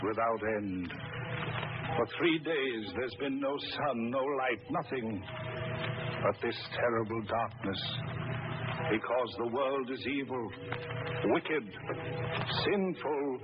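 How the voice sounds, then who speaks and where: An actor playing a fervent doomsday preacher, solemn and declamatory, in 1930s radio drama style. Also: male voice, medium pitch, slow, pauses often, lightly acted. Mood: grim, fervent. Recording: muffled old 1930s radio recording, loud music.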